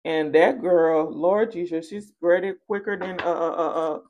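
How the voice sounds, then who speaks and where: A woman's voice: on the low side, measured pace, a little hiss or hum. Woman giving a casual tarot reading. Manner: playful, animated storytelling with quoted asides and slangy endearments. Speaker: tarot reader and channel host. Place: indoors at a card table.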